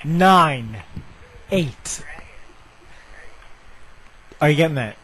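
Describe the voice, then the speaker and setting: A man's voice: fairly high pitch, expressive, extremely slow, bright, forceful tone. A man making a prank phone call, speaking in a hesitant, polite, stalling and apologetic way. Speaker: prank caller. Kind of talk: feigned apologetic phone conversation. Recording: noisy phone call with clicks.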